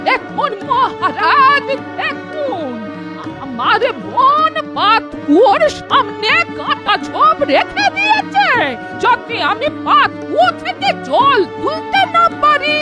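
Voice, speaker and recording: A woman voicing a scheming old woman, the elder sister, in a cartoon, shrill and scolding, with a slow, drawn-out delivery. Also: sing-song, full character voice. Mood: complaining. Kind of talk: cartoon voice acting. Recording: music under voice.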